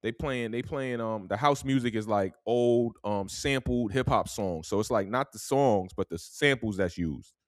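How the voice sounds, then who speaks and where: A young man with a thick New York accent spins a long, easygoing story, loose and conversational, often finishing a thought with "man". His voice sits at an ordinary middle pitch. It is recorded dry and close, with no room sound. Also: normal speed, few pauses, dry recording. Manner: laid-back, drawling storyteller, tags lines with "man". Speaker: podcast host. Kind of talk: casual storytelling.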